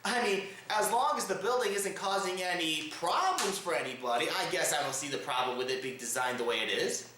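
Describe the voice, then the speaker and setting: A man's voice: a little high, lively intonation, a little fast, few pauses, bright, forceful tone, a little hiss or hum. A man hosting a comedic news show with a theatrical, exaggerated showman delivery. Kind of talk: comedic news commentary to camera. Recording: roomy, echo-heavy home recording.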